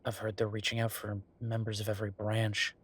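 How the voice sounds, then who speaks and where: A man plays a werewolf office bureaucrat and affectionate boyfriend in an intimate roleplay audio drama. His voice sits on the low side with a strong rasp. He talks casually and wryly, teasing as he goes. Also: monotone, a little fast. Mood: skeptical, uneasy. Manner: casual, teasing, conversational with soft murmurs.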